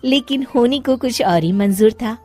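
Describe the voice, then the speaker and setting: A woman's voice: on the high side, moderate pace, warm tone, soft background music. A woman narrating a scripted moral story for an animation as storyteller.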